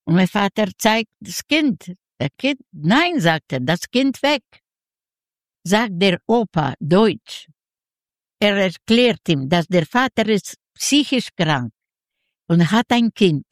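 A woman in her nineties from Ukraine with a Yiddish-Ukrainian accent, telling her story in an interview in halting, simple broken sentences and acting out quoted dialogue. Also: medium pitch, very animated, no room sound, studio quality. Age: 90s. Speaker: interview guest, Holocaust survivor giving testimony.

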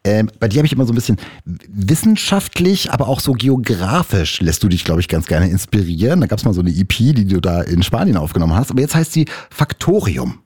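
A man's voice: on the low side, very animated, fairly quick, a little mellow, husky. A man hosting a music show, chatting casually and playfully with his guests. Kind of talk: casual interview chat.

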